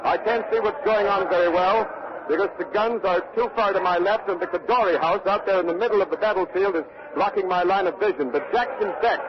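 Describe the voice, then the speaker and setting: A man's voice: sits high, fairly quick, crowd noise, natural voice. An actor playing an earnest male war correspondent, formal and urgent, in a 1940s radio news dramatization. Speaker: radio reporter and interviewer. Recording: dull vintage radio broadcast recording.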